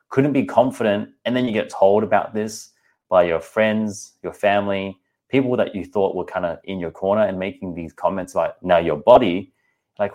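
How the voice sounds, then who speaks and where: Australian man, 30s, deep voice; chatty, candid podcast host talking straight to listeners. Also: darkish tone. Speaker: podcast host and personal trainer. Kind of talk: casual podcast monologue.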